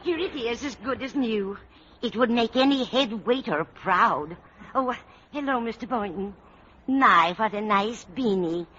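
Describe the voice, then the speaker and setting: A woman's voice: on the high side, expressive, measured pace, bright, forceful tone. An actress playing a kindly, chatty woman in a 1940s radio sitcom. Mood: cheerful. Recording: muffled vintage radio, clear hiss.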